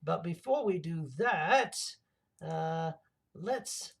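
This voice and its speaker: Guy doing a creaky mad villain livestream host, slow rambling, gloaty and theatrical, kinda high voice. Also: lively intonation, full character voice. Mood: rambling, playful. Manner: halting, gloating, melodramatic. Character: theatrical male mad villain host plotting laser revenge. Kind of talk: rambling in-character horror-comedy livestream.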